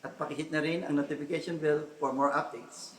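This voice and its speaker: A man's voice: fairly high pitch, fairly even intonation, fairly quick, big-room sound, a little hiss or hum, rough recording. A man with a Filipino accent giving scripted educational narration as the host.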